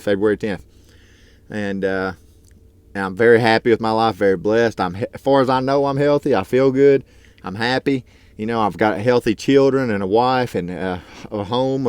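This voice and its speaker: A man's voice: on the low side, moderate pace, darkish tone, clean tone, no room sound. A man in his thirties talking casually to his vlog from his truck, earnest and rambling with lots of fillers. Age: 30s. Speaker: truck driver and YouTuber.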